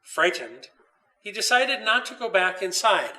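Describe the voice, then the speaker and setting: A man reading his own children's story aloud with expressive, dramatic narration. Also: sits high, unhurried, recorded from a distance, rough recording.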